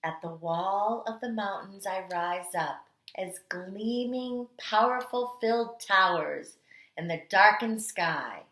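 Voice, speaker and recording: A woman kindergarten teacher reading aloud to young children with a dramatic, deliberate, expressive delivery. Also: medium pitch. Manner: dramatic, deliberate delivery with strong swells and hushed endings. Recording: echoey, roomy sound.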